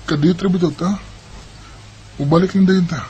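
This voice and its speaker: A man's voice: high voice, fairly even intonation, moderate pace, warm tone, a little hiss or hum, close to an everyday voice, poor audio. A man acting an insistent, ardent young college boyfriend in a Filipino Bisaya radio drama. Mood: eager.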